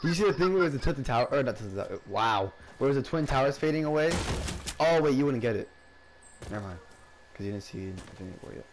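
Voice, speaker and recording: A young man chatting excitedly with friends while playing a shooter game, swearing and bantering. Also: mid-range voice, fast talker, noisy background. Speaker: gamer. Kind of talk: casual gaming chat with friends.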